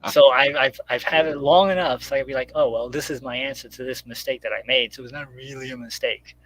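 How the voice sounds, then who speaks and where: A man's voice: medium pitch, fairly even intonation, fairly quick, fairly bright tone, low-quality recording. A man speaking casually as an interviewed writer, explaining step by step and acting out imagined remarks as he goes. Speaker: guest comic book writer.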